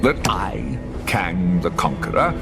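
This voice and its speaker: Low creaky game supervillain, grandiose, condescending, big music behind. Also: male voice, sing-song, slow pace, exaggerated voice. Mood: haughty, mocking. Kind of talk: video game cutscene acting.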